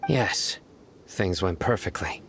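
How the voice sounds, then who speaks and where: An actor playing a stern, reserved enforcer general in a video game, speaking tersely with grave authority. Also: male voice, low-pitched, expressive, slow pace, background noise, heavily acted. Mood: calm, serious.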